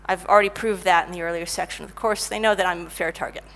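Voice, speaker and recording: A middle-aged woman giving a conference talk about technical writing, lively and self-mocking as she tells stories. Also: lowish voice, fast. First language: English. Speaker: conference speaker, technical writer.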